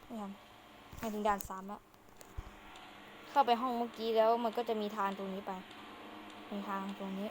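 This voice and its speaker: A woman's voice: medium pitch, steady tone, normal speed, long pauses. A woman giving casual commentary as she hosts a game playthrough. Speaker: gaming video host. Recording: clearly noisy home recording.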